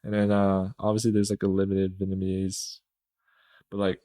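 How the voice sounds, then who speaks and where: A man's voice: deep voice, monotone, normal speed, mellow, dry recording, studio quality. A young man chatting casually as a podcast guest, rambling with many fillers and laughs. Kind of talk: casual interview chat.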